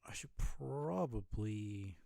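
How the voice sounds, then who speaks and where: A man's voice: fairly low pitch, animated, slow, creaky, mellow, no echo. A young man casually talking to himself while streaming a game, mumbling thoughts as he plays. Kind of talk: casual live gameplay commentary.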